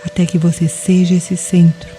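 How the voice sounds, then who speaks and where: A Brazilian woman narrating a guided meditation in a deliberate, soothing way, leaving pauses between phrases. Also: on the low side, level tone, mellow. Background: music with rain and thunder sounds.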